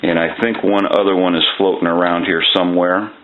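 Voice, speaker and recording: A man's voice: low-pitched, level tone, brisk. A man giving a casual hands-on demonstration as a repair technician, explaining step by step in a plainspoken way. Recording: tinny phone-like band, loud noise.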